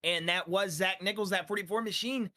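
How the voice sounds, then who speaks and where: High-voiced, hoarse broadcaster man. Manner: energetic, rambling, drawn-out fillers. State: losing his voice. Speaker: sim racing league broadcaster. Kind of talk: post-race driver interviews.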